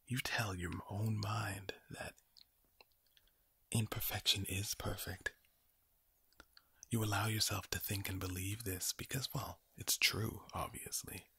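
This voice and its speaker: A man gives an ASMR monologue in a very breathy whisper, close on the mic and very dry. He speaks slowly and deliberately, circling back over phrases. The delivery is soothing with a sinister edge, and there is a crackly fry in the low voice. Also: pushed and bright, dry recording. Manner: slow, deliberate, repeating words and phrases; soothing but sinister-sounding. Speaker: ASMR performer. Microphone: close microphone.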